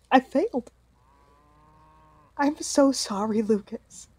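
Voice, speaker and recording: A woman playing a devout, loving mother in a radio play, speaking in a halting, earnest way. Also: high-pitched, no room sound. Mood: tearful, remorseful. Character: devout, loving, remorseful mother of a grown son.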